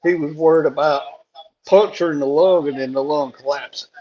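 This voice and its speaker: A man with a hoarse voice, chatting casually and plain-spoken on a live stream, laughing things off. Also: fairly high pitch, steady tone, fairly quick, darkish tone. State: hoarse after a throat tube. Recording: dull lo-fi call audio.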